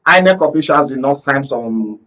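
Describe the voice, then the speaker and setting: A man's voice: mid-range voice, animated, normal speed, pushed and bright, muffled recording. A man with a Nigerian accent arguing politics as a guest commentator on a panel, emphatic and fond of rhetorical questions. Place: radio and TV studio.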